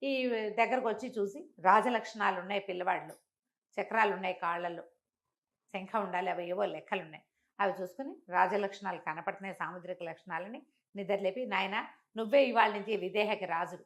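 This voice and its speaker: An older woman telling a traditional moral story in a conversational interview, acting out the characters' speech with lively jumps in voice. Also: a little fast. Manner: animated, acts out quoted speech with big pitch jumps.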